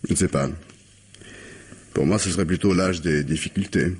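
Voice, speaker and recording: A troubled man near forty in a radio mystery, his voice low and husky. He speaks with reserved courtesy, hesitant and guarded. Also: moderate pace, darkish tone. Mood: weary, resigned. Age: about 40. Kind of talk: French mystery radio drama acting.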